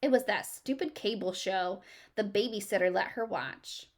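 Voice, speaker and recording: A woman's voice: medium pitch, moderate pace, lightly acted. A woman voicing a mother in a children's storybook, easily exasperated and emphatic. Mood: annoyed.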